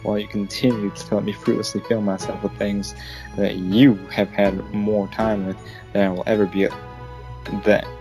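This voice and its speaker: A man acts out a flustered cowboy hunter with a folksy, playful grumble. He rambles and stumbles through his complaints in an amateur fan fiction reading, with music clearly behind him. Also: on the low side, natural voice.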